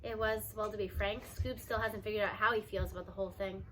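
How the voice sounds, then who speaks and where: A woman reading a children's novel aloud at home, acting out the characters' lines and slowing down for emphasis. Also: mid-range voice, steady tone, fairly bright tone, a little hiss or hum. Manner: acts out dialogue with raised voice and slows for emphasis.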